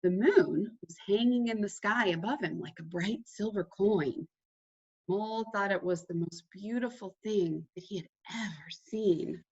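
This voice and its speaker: A woman reading a children's storybook aloud in an animated, dramatic way, with a husky voice. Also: medium pitch, unhurried, highs cut off.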